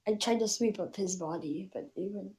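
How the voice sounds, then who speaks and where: A woman puppeteer chatting casually as a guest, in a halting, hesitant way. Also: mid-range voice, mellow, rough recording. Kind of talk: casual chat.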